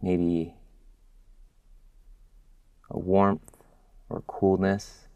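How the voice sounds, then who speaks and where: A man leads a guided meditation in a low, level voice. He speaks very slowly and gently, leaving long soothing pauses between short phrases. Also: little pitch movement, clear, resonant tone, no room sound, dull audio. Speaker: mindfulness instructor. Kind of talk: guided body scan meditation.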